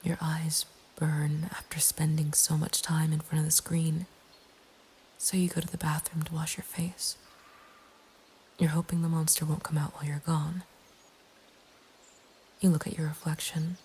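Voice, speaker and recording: A woman narrating a scary story in a breathy, hushed ASMR whisper, slow and deliberate. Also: deep voice, flat delivery. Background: nature ambience.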